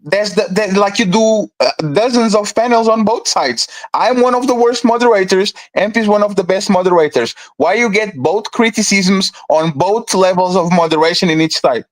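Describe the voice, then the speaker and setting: A man's voice: high voice, moderate pace, hardly any pauses, poor audio. A man hosting a podcast debate, pressing his guest with repeated questions and talking over him. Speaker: podcast host. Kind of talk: heated debate.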